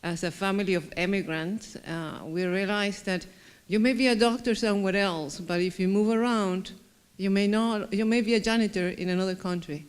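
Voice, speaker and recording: Smooth-voiced woman with Chilean accent. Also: mid-range voice, fairly even intonation, moderate pace. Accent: Chilean Spanish. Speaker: panelist. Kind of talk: panel self-introduction.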